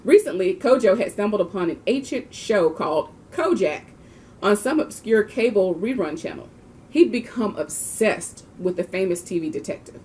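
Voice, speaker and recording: A woman's voice: medium pitch, a little mellow, a little hiss or hum. A woman reading a children's book aloud expressively, with the occasional small stumble. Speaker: volunteer reader of a children's book. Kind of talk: storybook read-aloud.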